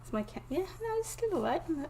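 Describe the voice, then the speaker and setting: A woman from England, chatting casually to viewers on a live stream from her desk, croaky and sniffly with a cold, often laughing. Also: very high-pitched, very animated, quick pace, mellow, a little hiss or hum. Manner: chatty, giggly, greets viewers by name. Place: home desk indoors.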